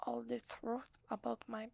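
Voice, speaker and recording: A woman with an Italian accent playing a gentle, loving young wife in a whispered, hesitant ASMR roleplay. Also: a little high, monotone, no room sound, exaggerated voice. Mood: nervous, earnest. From Italy. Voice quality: breathy, whispery. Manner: slow, hesitant, tender. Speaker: ASMR voice actor.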